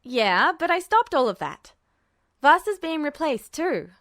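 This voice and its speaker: A woman voicing a brash, irritable young male alchemist in a podfic reading, defensive and quick. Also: high voice, very animated, a bit bright, dry recording, studio quality, voice pushed up, full character voice. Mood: huffy.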